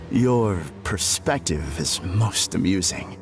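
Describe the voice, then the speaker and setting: A creepy polite villain, lowish voice, formal and measured, video game music behind him. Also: male voice, heavily acted. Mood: serene, amused. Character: eerily calm, polite male villain, condescending and self-deluded.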